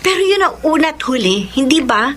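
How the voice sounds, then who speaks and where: A woman's voice: high-pitched, big pitch swings, fairly quick, fairly bright tone, a little hiss or hum. A woman playing a flustered, pushy young mother in a Tagalog radio melodrama, exclamatory and emphatic. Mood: defensive.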